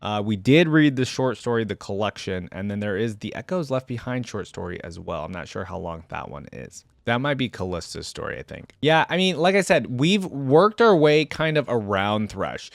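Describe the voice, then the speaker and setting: A man's voice: fairly low pitch, sing-song, moderate pace, creaky, few pauses, no room sound. A man hosting a reaction video, reading lore aloud and adding casual commentary as he thinks aloud.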